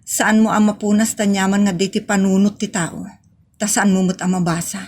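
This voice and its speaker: A woman's voice: medium pitch, little pitch movement, moderate pace, a little mellow, a little hiss or hum, close to an everyday voice. A woman acting a proud but anxious young woman in love in a radio drama. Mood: troubled.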